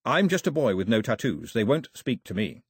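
A man voicing an earnest, steady teenage island boy who is a modest young chief, speaking plainly. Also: medium pitch, a little fast, husky, no room sound, studio quality. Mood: earnest, calm.

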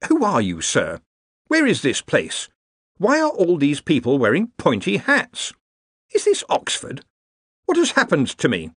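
A dazed Victorian gentleman scholar, as a man puts him on, formal and perplexed, pitched high above the reader's voice. The sound is close and dry. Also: wildly swooping pitch, moderate pace, husky, no room sound, exaggerated voice. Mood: bewildered, formal.

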